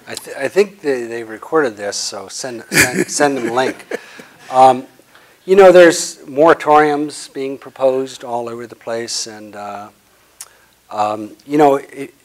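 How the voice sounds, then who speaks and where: A man in his sixties, the guest speaker, answering audience questions after a lecture in a conference room, pushing hard on key words and letting phrase ends fade quietly. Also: mid-range voice, takes it slowly, poor audio. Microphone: lapel.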